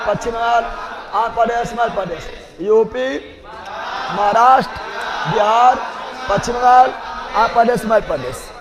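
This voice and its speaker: Male coaching teacher, Bihari accent, very high voice, emphatic drilling with question and answer, heavy hall reverb. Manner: emphatic, repeats key facts loudly, checks with the class. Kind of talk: live general-knowledge classroom drilling with repetition and question-and-answer. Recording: echoey hall with long reverb.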